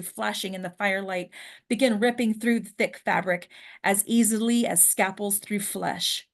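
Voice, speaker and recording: A woman reading stage directions at a script table read as deliberate, descriptive dramatic narration. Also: mid-range voice.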